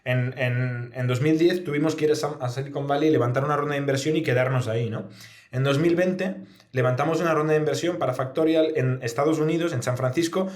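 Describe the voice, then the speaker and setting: A man's voice: mid-range voice, steady tone, fast. A man with an accent from Spain gives reflective, explanatory answers in a conversational interview, often adding little tag questions. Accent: Spanish from Spain. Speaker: guest, startup founder. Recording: echoey, reverberant room sound.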